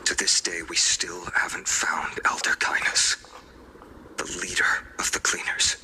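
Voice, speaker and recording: An actor voicing a polite, earnest young male hero who speaks in a measured way, heard in game audio with music behind. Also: sits low, monotone, bright, forceful tone, in a whisper, full character voice, low-quality recording. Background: background music.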